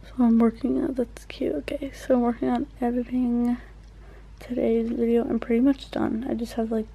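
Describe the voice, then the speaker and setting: A teenage girl vlogging casually into a phone held at arm's length, chatty with lots of fillers, often speaking softly. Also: on the high side, little pitch movement, moderate pace, gravelly, slightly rough, low-quality recording. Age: teens. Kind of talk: casual vlog chat. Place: home, car and outdoors.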